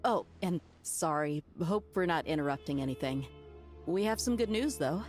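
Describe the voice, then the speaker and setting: A friendly young mercenary woman from a video game. Her lowish voice is lively and courteous, curious in tone, with faint music behind. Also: moderate pace. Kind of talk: scripted video game voice acting.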